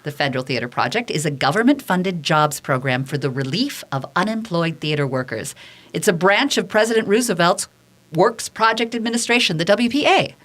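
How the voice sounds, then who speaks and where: A woman's voice: fairly low pitch, animated, fairly quick, fairly bright tone. A woman playing an earnest, defensive 1930s theater director testifying in a radio theater reading. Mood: composed.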